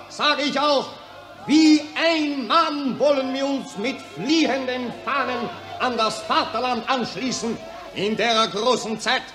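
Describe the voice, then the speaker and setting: An actor playing a pompous, muddle-headed Viennese rabble-rouser in a bombastic 1940s satirical radio play. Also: male voice, extremely high pitch, pushed and bright, exaggerated voice. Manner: bombastic, declamatory. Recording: echoey 1940s radio recording with crowd.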